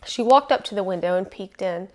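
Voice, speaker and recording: Woman narrator for children's read-aloud: medium pitch, emphatic, expressive, lingers on key phrases. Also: brisk, rough recording. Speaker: storyteller.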